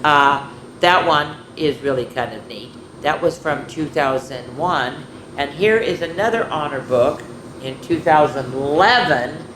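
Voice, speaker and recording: A woman's voice: low-pitched, a little slow. A woman hosting a children's story time, reading picture books aloud in a playful, chatty way with rambling asides to her viewers. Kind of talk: informal picture-book read-aloud and show-and-tell to children. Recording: echoey room with clear background noise.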